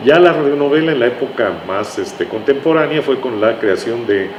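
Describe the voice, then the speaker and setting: A man's voice: mid-range voice, animated, moderate pace, highs cut off. A man with a Mexican accent from Tabasco, an interview guest reminiscing conversationally and often searching for words. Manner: hesitant, searching for words, repeats phrases. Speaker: interview guest, writer and radio drama veteran. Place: indoors in a bookstore. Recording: roomy echo, faint background noise.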